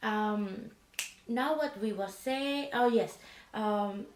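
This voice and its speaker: A woman voicing a female resistance leader in pajamas, speaking softly and haltingly, with many hesitant fillers, in an ASMR roleplay. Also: medium pitch, reverberant, recorded from a distance, slight background noise, low-quality recording. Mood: earnest. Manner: halting, slow, with hesitant fillers and a foreign-sounding phrasing. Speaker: leader of a sleeper resistance unit. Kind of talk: soft-spoken ASMR roleplay.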